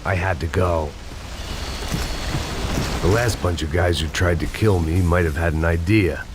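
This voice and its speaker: A man playing a bitter, burnt-out middle-aged bodyguard and ex-cop in a video game, narrating in a deadpan, sardonic noir style. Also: low voice, measured pace. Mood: grim, sardonic. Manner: world-weary, deadpan, wry. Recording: loud music over the voice.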